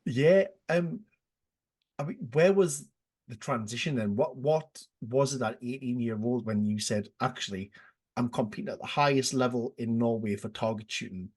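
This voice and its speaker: A man hosts a casual podcast with a slightly husky, breathy voice. He reacts eagerly to his guest and often trips over his words and restarts before landing his question. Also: mid-range voice, moderate pace, darkish tone. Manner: enthusiastic, stumbling restarts, lots of "wow". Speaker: podcast host.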